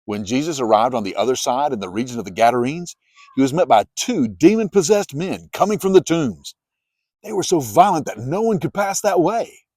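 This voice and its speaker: A man reading scripture as a dramatized, expressive storytelling narration. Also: mid-range voice, a little fast, dry recording, studio quality. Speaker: narrator, Bible reader.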